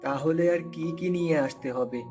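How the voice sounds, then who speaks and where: A man's voice: fairly high pitch, moderate pace, a little mellow, lightly acted. Man playing a grumbling village farmer, music behind. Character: hard-up village farmer, grumbling but affectionate family man. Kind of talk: Bengali cartoon folk tale acting.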